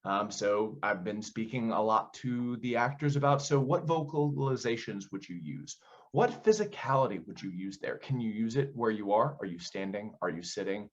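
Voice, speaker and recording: A man with a fairly low voice speaks as an interviewed director. He explains things conversationally and tosses in rhetorical questions. The recording is a little dull and lo-fi. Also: lively intonation, moderate pace. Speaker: theater director being interviewed.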